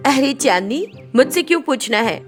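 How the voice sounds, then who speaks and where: A woman's voice: high-pitched, lively intonation, normal speed, faint music behind. A woman playing a kind, encouraging film actress who speaks to others as a caring employer.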